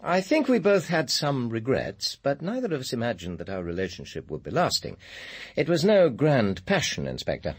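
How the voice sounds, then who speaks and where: An actor playing a formal, guarded senior scientist with an English accent in a radio drama, speaking in a measured, articulate way. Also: male voice, mid-range voice, wildly swooping pitch, breathy edge, soft background music, exaggerated voice. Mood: guarded, cool. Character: smooth, guarded male senior scientist, director of a power station. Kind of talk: British radio drama acting.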